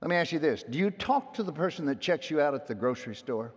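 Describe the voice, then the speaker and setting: A pastor preaching a sermon in a folksy, storytelling way, his loud voice dropping to hushed asides and then rising to emphatic peaks. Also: fairly high pitch, animated, fast talker, slightly rough. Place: church sanctuary. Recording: long hall reverb.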